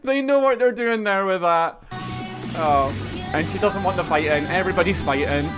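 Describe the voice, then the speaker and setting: A man in his thirties giving a casual, excitable video reaction as a streamer, often repeating his phrases. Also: sits high, normal speed. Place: small indoor streaming room. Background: video game trailer music.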